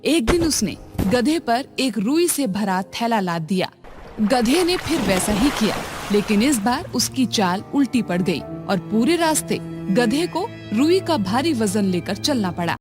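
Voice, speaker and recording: A woman's voice: fairly high pitch, sing-song, unhurried, music in the background. Woman narrating children's moral stories. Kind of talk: scripted children's story narration.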